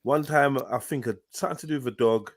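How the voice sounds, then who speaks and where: A man's voice: medium pitch, quick pace, no echo, studio quality. A middle-aged man hosting a live panel stream, slangy and rambling, laughing often. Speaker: host. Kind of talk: casual panel chat.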